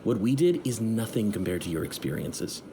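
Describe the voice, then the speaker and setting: A man's voice: on the low side, sing-song, moderate pace, dark tone, slight background noise. An actor playing a joking, flirty male mercenary in a game, who laughs a lot. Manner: laughing, jokey. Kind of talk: video game cutscene dub.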